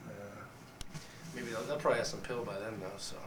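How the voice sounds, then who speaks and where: A man's voice: on the low side, steady tone, normal speed, distant mic. A young man with a scratchy voice, a college football player joking his way through an interview. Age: young adult. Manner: wisecracking, boastful. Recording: echoey room, off-mic, ambient noise.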